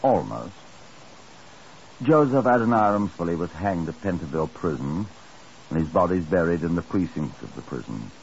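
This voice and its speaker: An actor playing a sober Scotland Yard chief inspector, narrating a 1950s radio drama in a measured, grave, dramatic manner. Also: male voice, sits low, animated, gravelly. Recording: muffled, hissy 1950s radio recording.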